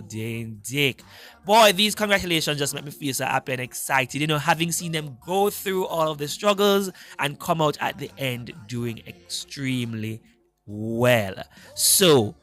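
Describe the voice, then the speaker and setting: A man with a Jamaican accent hosting a live radio show in a studio, reading out listener shout-outs in an upbeat, emphatic announcer style. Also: mid-range voice. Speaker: radio host.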